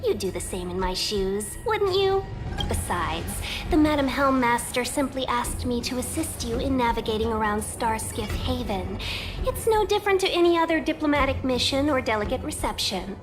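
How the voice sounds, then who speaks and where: A woman's voice: on the high side, lively intonation, moderate pace, music in the background, close to an everyday voice. A woman voicing a genteel, playfully cautious young fox-woman merchant guide in a video game, speaking in a polished, teasing way.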